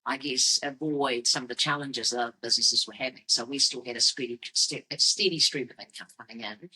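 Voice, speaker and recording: A middle-aged woman with a New Zealand accent and a husky voice, telling a business story conversationally in measured, explanatory phrases. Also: very deep voice, pushed and bright, low-quality recording. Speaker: fashion business founder on a company podcast.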